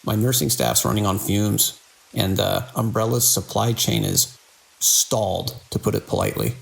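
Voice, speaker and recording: A man playing a weary, dedicated hospital director in an audio drama, speaking in a measured, grave way. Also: lowish voice, steady tone, vocal fry, breathy edge, exaggerated voice, rough recording. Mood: wry, urgent. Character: weary, dedicated male hospital director under mounting strain. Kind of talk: audio drama acting, recorded log entries and phone call.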